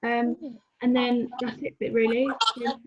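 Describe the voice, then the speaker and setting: A woman's voice: fairly high pitch, a little slow, breathy edge, rough recording. A woman in her twenties speaking on a video call as a guest, hesitant and full of ums. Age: 20s. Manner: hesitant, many ums, even tone. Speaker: guest mother. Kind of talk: casual video call chat.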